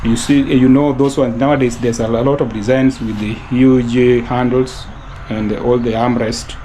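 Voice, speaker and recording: A man from Kenya casually showing a house in a vlog, talking haltingly with many fillers and restarts. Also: mid-range voice, flat delivery, mellow, slight background noise, poor audio. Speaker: vlogger touring a house. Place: outdoors at a rural house. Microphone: handheld camera.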